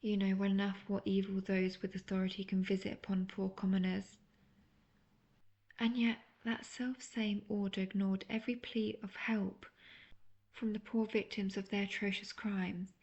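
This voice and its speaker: A woman playing a seductive, manipulative, obsessive witch in an intimate audio roleplay, coaxing and teasing the listener with soft talk that slips into near-whispers. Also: mid-range voice, flat delivery, normal speed, lo-fi sound, natural voice. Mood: cold, righteous contempt.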